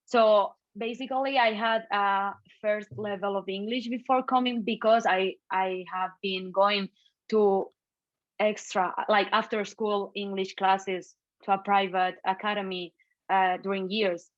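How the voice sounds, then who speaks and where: A woman from Catalonia with a Spanish accent, speaking English as a podcast guest in a lively, quick, easygoing way. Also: mid-range voice, dry recording, highs cut off. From Catalonia, Spain. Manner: lively, quick bursts, laughs easily. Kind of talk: casual conversation.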